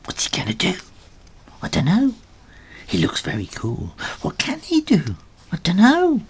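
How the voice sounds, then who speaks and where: A man's voice: high-pitched, wildly swooping pitch, measured pace, husky, pitched above the performer's own voice, exaggerated voice. A man voicing a nervous young kitten in a children's story, hesitant and evasive. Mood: unsure. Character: nervous young kitten gang members making excuses.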